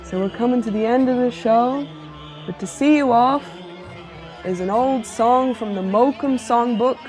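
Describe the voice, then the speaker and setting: A woman's voice: a little high, unhurried, a little mellow, soft background music, low-quality recording. A woman hosting a show, presenting scripted links in a theatrical manner. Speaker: show host.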